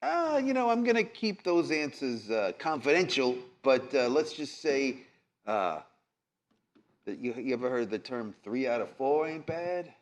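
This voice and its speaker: Fussy, evasive male investigator, played by a man, lively intonation, mid pitch, halting improvised roleplay. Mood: evasive, hedging. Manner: halting, hedging. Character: fussy, evasive male evidence-keeping inspector.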